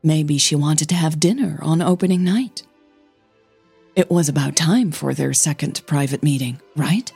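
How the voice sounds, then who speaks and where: A woman with a soft, breathy voice, a podcast host who narrates her own audio fiction, over music. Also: sits low, moderate pace, no room sound. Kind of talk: scripted story narration with casual host outro. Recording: music bed under the voice.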